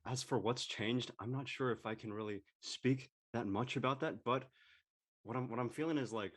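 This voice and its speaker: A male high school student chatting casually as a young actor, tentative and thinking aloud. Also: moderate pace. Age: teens, high school student. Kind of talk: casual chat.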